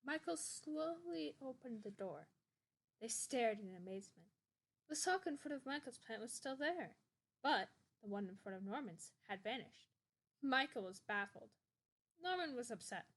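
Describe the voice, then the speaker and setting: A woman reads a children's storybook aloud. Her voice is high and very animated, moving freely up and down as she narrates with expression. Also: normal speed. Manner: animated, acting out dialogue. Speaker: reader.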